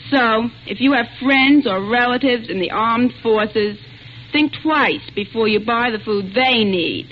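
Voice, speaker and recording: A woman's voice: a little high, unhurried. A young woman giving an earnest, plain-spoken scripted testimonial in the style of 1940s radio. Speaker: speaker in a wartime radio food appeal. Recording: dull, noisy vintage radio recording.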